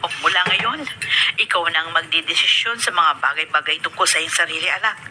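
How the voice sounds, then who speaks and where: A woman with a Filipino accent acting a tender, tearful, supportive mother in a radio drama, speaking haltingly with emotion. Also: low voice, background noise, lightly acted. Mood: tearful, proud.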